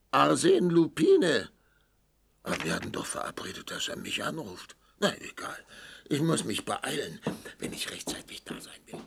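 An actor playing a cowardly, fussy crooked director who mutters and stammers, in a children's audio play. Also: male voice, on the high side, gravelly, a little hiss or hum, heavily acted. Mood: puzzled, muttering. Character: crooked, nervous, cowardly male director, a jittery villain.

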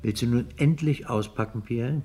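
An actor playing a brisk, impatient police inspector in a German radio crime drama, speaking in a clipped, matter-of-fact way. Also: male voice, medium pitch, mellow, soft background music, lightly acted.

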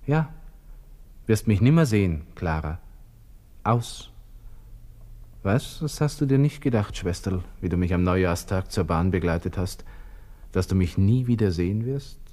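An actor playing a despairing young army lieutenant with an Austrian accent, talking to himself haltingly in a radio drama monologue. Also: male voice, sits low, lively intonation, mellow. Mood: anguished, reflective. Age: 20s.

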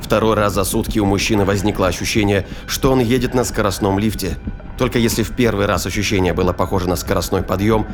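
A man's voice: fairly low pitch, fairly even intonation, fast talker, music in the background. A man narrating a science fiction audio drama in a scripted storytelling style. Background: ambience and sound effects.

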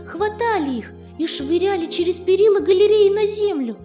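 A woman narrating a children's tale as an audiobook, in an expressive, measured literary manner. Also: very high-pitched. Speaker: storyteller, narrator.

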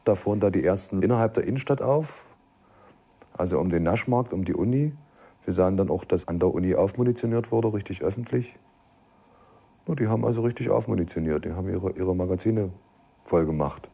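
A man's voice: low-pitched, brisk, creaky, warm tone, breathy edge. A man from East Germany recalls events as an eyewitness in a colloquial, anecdotal way. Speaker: eyewitness interviewee. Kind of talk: spontaneous spoken recollection.

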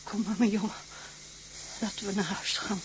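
A woman's voice: mid-range voice, fairly even intonation, moderate pace, background noise, close to an everyday voice. An actress playing a compassionate, motherly woman, distressed and tenderly consoling, in a period drama. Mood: pitying, consoling.

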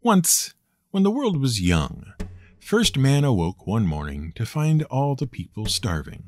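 A man's voice: mid-range voice, huge pitch swings, unhurried, darkish tone, no echo. A man narrating scripted folk tales as host, in a wry, theatrical manner. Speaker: storyteller and show host.

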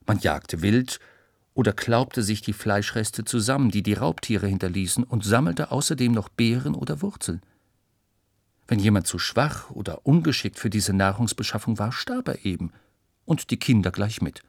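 A man's voice: lowish voice, animated, normal speed, gravelly, dry recording, studio quality. A man reading scripted educational narration for children, explaining in a storytelling way with rhetorical questions.

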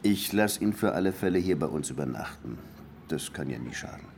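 An actor playing a blunt, sardonic police lieutenant in a radio drama, talking in a wry, deliberate way. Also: male voice, very deep voice, lively intonation, a little mellow, a little hiss or hum. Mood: wry, musing.

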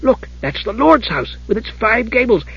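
A man voicing an excitable, curious little kender thief with an eager, chattering, singsong manner in a fantasy audiobook. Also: very high voice, moderate pace, vocal fry, breathy edge, highs cut off, voice pushed up, heavily acted. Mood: excited, delighted.

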